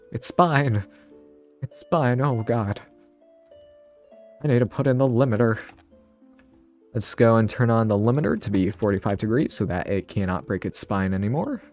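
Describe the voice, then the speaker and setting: A man's voice: on the low side, a little mellow. A man casually thinking aloud on a live stream, rambling and interrupting himself, a bit sniffly from a cold.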